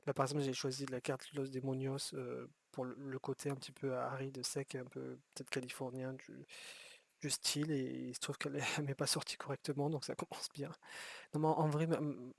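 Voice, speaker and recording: A man's voice: medium pitch, little pitch movement, a little fast, gravelly, slight background noise. A man giving rambling, casual commentary while playing a city-building game, often restarting his sentences and swearing offhand. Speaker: gameplay streamer.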